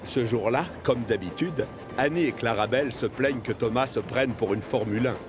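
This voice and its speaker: A man's voice: medium pitch, moderate pace, loud music behind the voice. A man narrating a children's cartoon in a storytelling style.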